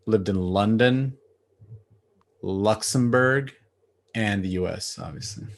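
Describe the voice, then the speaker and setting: A man in his late thirties, born in Bangladesh, chatting casually as a podcast guest over a video call, relaxed and laughing, with lots of fillers. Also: fairly low pitch, slow. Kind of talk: casual interview chat.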